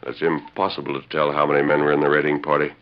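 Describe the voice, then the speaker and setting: A man playing a resolute masked western hero, firm and measured, in a 1940s radio drama. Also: a bass voice, completely flat delivery, noisy background. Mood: thoughtful. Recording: muffled old radio recording.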